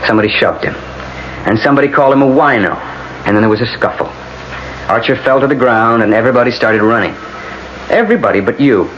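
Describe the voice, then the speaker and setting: A blunt American defense attorney in an old radio drama, played by a man. He is sardonic and commanding, with very lively intonation. The sound is dull, with light broadcast noise. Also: medium pitch, fast, long pauses, lightly acted. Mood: stern. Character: blunt, world-weary male defense lawyer. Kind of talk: 1980s radio drama acting.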